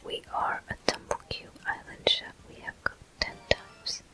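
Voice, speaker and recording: Slow whispering ASMR woman. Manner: soft, gentle, unhurried murmuring. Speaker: gameplay host. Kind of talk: whispered ASMR video game commentary.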